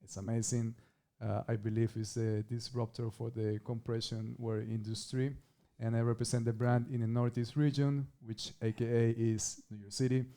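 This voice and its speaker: A man from Ecuador talks as a guest on a casual podcast. His voice is mellow and warm, with a smooth, resonant tone. He keeps an upbeat, friendly pace as he introduces himself. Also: medium pitch, level tone, moderate pace, few pauses. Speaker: guest, tennis pro and personal trainer.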